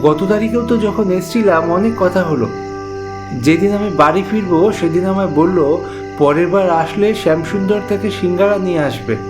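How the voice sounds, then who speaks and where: A man's voice: high-pitched, moderate pace, over music, pitched above the performer's own voice, lightly acted. A man voicing a friendly, sentimental village traveller, chatty and earnest, in a dramatised ghost story. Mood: regretful, earnest.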